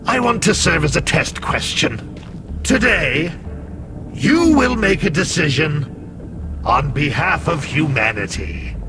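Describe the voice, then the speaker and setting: A smug artificial intelligence from a video game. The voice is fairly high and swings widely in pitch, with slow, theatrical delivery. It taunts like a manipulator who looks down on humans, over prominent music. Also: male voice, heavily acted. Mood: mocking, superior, then cold threat. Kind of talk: video game cutscene acting.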